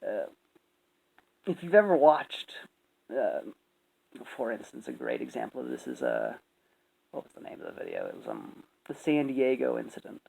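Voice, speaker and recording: Opinionated commentator, rambling and emphatic, very animated delivery with a creaky edge. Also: medium pitch, normal speed, pauses often, poor audio. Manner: rambling, emphatic, frequent swearing. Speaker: video commentator.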